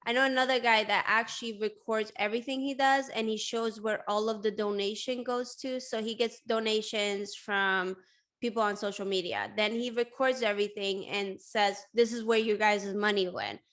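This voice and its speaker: A young woman from Sweden, hosting a casual interview and talking in a chatty, animated way with frequent laughter. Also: medium pitch, moderate pace. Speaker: host.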